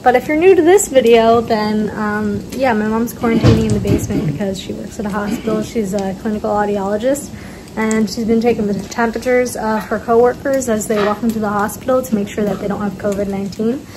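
A woman's voice: mid-range voice, fairly even intonation, fairly quick. A teenage girl casually walking through a recipe step by step in her home kitchen, with plenty of fillers. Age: teens. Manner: chatty, explaining step by step, lots of fillers. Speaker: vlogger cooking at home. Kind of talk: casual cooking demo. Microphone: phone camera, handheld at times. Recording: echoey kitchen, loud background noise.

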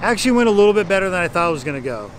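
A man's voice: high-pitched, big pitch swings, racing. Man vlogging on a noisy night street. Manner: candid, self-deprecating, chatty. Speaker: stand-up comedian and vlog host. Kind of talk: casual vlog talk to camera. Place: outdoors at night on a city street. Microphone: camera held at arm's length.

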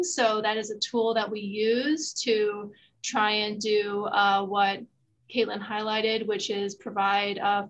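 A woman in her thirties, a housing agency official, explains policy over a video call in a measured way with many filler uhs. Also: mid-range voice, a bit bright. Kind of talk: answering questions in a virtual town hall. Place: high-rise office, indoors.

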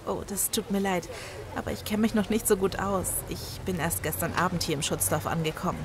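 A woman's voice: lowish voice, a little fast, noisy background, lightly acted. A woman playing an anxious, polite newcomer doctor in an audio drama. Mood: polite, nervous. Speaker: voice actor.

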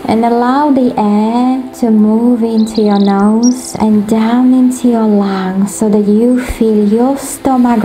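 A woman leading a guided sleep meditation in a gentle, soothing, instructive manner, as a meditation guide. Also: mid-range voice. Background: ocean waves.

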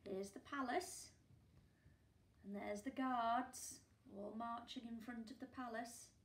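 A woman in her forties, a primary school teacher reading a picture book aloud at home, with gasps and hushed asides for young listeners. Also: a little high, fairly even intonation, slow, reverberant. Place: living room at home, indoors. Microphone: camera a few feet away.

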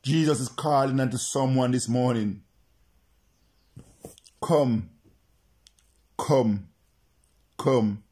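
A man's voice: mid-range voice, extremely slow, long pauses, a little mellow. A male preacher praying and preaching to remote listeners, repeating his phrases in an insistent, chant-like cadence.

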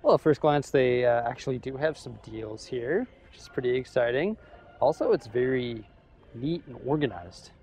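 A young man filming himself in a big store, chatting casually as he weighs up prices and thinks out loud. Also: medium pitch, moderate pace. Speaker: vlogger. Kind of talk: casual shopping vlog. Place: large store aisle, indoors. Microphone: camera held at arm's length.

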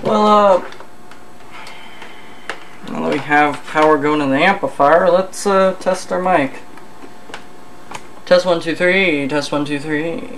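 A person thinking aloud in a halting mutter during a casual hands-on electronics demonstration at an indoor workbench. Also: mid-range voice, a bit bright. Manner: halting, muttering to self while working. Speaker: hobbyist host of an electronics repair video. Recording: noisy camcorder audio with room ambience.

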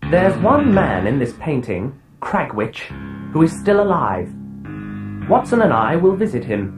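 A man's voice: a little high, very animated, a little slow, over music. An actor playing a clever, commanding, intense teenage boy detective in a dramatised audio storybook. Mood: intense, decisive.

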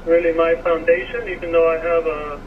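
An American man with a high voice, sounding distant and muffled, like off-air radio audio. A steady hiss sits under his voice as he answers in a thoughtful, halting way. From Miami, USA. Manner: thoughtful, hesitant, many fillers. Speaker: car design director guest.

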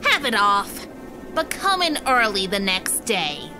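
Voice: medium pitch, big pitch swings, slow pace, over music. A voice actor playing a grumpy miserly duck as Scrooge in a comic dub, whiny and complaining. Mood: grumbling.

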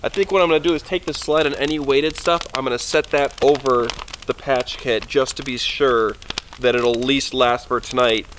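A man's voice: medium pitch, fairly even intonation, moderate pace, fairly bright tone, a little hiss or hum. Chatty man at a snowy night campfire. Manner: chatty and easygoing, rambling with fillers. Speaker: outdoor vlogger. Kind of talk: casual rambling talk to camera. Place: snowy forest campsite at night, outdoors by a fire. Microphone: camera on a tripod a few meters away.

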